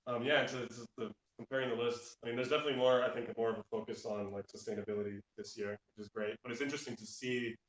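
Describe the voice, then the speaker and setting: Echoey room, man, lowish voice, flat intonation, informal reflective chat. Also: racing, hardly any pauses. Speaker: guest, travel writer. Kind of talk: casual interview chat. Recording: roomy, echoing live talk.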